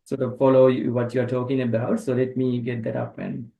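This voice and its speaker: A man's voice: medium pitch, flat delivery, a little fast, vocal fry, dark tone, rough recording. Man hosting a webinar. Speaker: webinar host.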